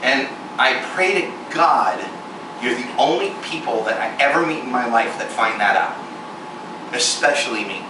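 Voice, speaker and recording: A man in his forties giving a candid, self-mocking personal testimony sermon, with sudden jumps in pitch for emphasis. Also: lively intonation, a little slow, recorded from a distance. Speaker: ministry performer speaking to a congregation. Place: large echoey venue room with air conditioning hum. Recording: echoey room recorded from afar with loud air-conditioner hiss.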